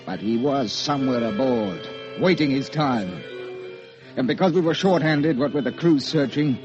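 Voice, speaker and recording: An actor playing a tense, suspicious sea captain who bluntly tells his story in an old radio drama. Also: medium pitch. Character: gruff, wary sea captain narrating his tense tale. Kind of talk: 1940s radio drama acting. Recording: muffled old radio broadcast with music.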